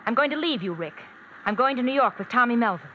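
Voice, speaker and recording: High, lilting, murderous radio wife, acted by a woman. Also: lively intonation, quick pace, muffled recording, natural voice. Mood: coldly gloating. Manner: mocking, coaxing, calls husband dear and darling. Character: cold, teasing thrill-seeking murderous wife. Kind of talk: old-time radio mystery acting. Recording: vintage radio drama with background noise.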